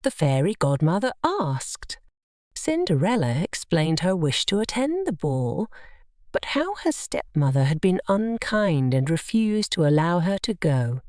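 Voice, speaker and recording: A woman narrating a scripted children's bedtime story in an expressive, measured storytelling manner. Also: on the low side, no room sound, clean studio sound.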